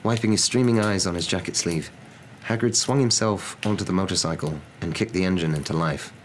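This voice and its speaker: A young adult man reading a novel aloud in a hushed ASMR storybook narration. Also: low-pitched, fairly quick, low-quality recording. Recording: noticeable background noise.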